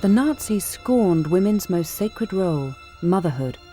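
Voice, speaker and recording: A woman narrating a documentary script in a measured, formal way. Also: fairly low pitch, expressive, music in the background.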